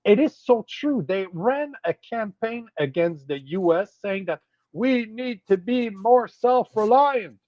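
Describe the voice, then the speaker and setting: A man's voice: high-pitched, lively intonation, takes it slowly, no echo, lo-fi sound. Blunt, swearing French-Canadian man. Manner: profane, blunt and scornful. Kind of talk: casual political chat.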